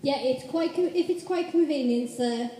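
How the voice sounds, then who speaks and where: Woman playing a meek gentleman, distant and echoey. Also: sits high, normal speed, natural voice. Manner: hesitant, deferential. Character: meek, polite Victorian gentleman, deferential and earnest. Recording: echoey hall, far off, noisy with clicks.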